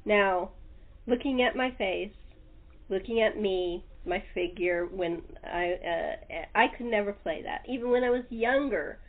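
A woman's voice: medium pitch, a little slow, phone-quality sound. A middle-aged woman hosting a casual, chatty interview. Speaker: interview host.

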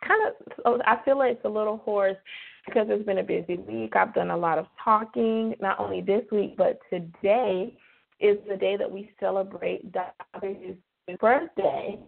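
Slightly hoarse woman on a phone line. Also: medium pitch, fairly even intonation. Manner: chatty, drawn-out emphasis on key words. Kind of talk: casual devotional radio talk. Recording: phone-line call-in radio.

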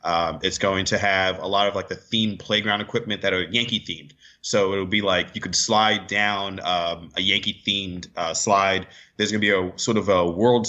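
Male radio guest, sports analyst, lower pitch, bright edge, explanatory and chatty, lo-fi sound.

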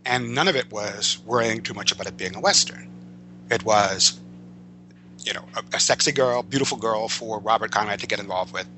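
An older American man speaking as an interview guest, reminiscing in a discursive, halting way and often correcting himself. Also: on the low side, pushed and bright, a little hiss or hum, low-quality recording. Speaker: podcast guest, veteran writer.

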